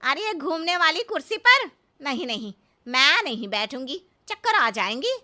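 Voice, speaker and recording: A woman's voice: high-pitched, very animated, moderate pace, bright, forceful tone, full character voice. A woman playing a brash, naive older village mother-in-law in a comic cartoon story, scolding and literal-minded in a folksy way. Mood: naive, cheeky.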